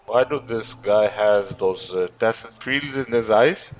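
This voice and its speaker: A man's voice: fairly low pitch, unhurried. A man giving casual gameplay commentary as a gaming streamer. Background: game music.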